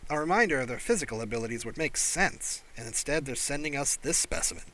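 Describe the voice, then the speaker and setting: A man's voice: medium pitch, expressive, fast, bright, forceful tone, distant mic, rough recording. A man voicing a dismissive, sceptical alien ambassador with a formal, scornful manner.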